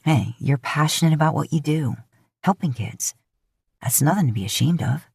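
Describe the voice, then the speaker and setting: A woman's voice: low-pitched, expressive, normal speed, gravelly, darkish tone, breathy edge, dry recording, clean studio sound, heavily acted. A woman voicing a flirtatious, easygoing man in his mid-twenties with a casual, teasing drawl, in an audio fan fiction. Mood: teasing, reassuring.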